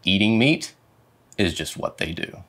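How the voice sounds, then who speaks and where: Animated, low-voiced man reading a storybook. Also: measured pace. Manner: dramatic, animated phrasing. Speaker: storyteller reading a children's picture book. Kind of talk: expressive storybook read-aloud. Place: indoor studio with plain dark backdrop.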